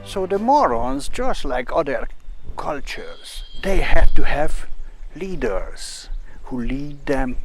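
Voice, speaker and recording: A man with a foreign accent and non-native English, presenting a rambling, halting mock-documentary lecture. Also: medium pitch, lively intonation, soft background music. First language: not English.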